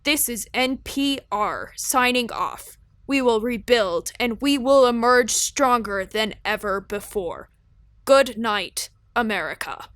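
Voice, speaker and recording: Woman as a high-voiced, solemn radio news reporter. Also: expressive, a bit bright, dry recording, studio quality. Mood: resolute. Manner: grave, measured, formal. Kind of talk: scripted dramatic news narration.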